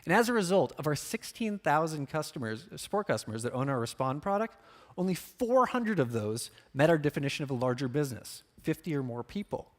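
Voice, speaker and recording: A man's voice: on the high side, big pitch swings, fairly quick. A man giving a business conference talk as a product marketer, speaking informally with emphasis on key words and casual asides.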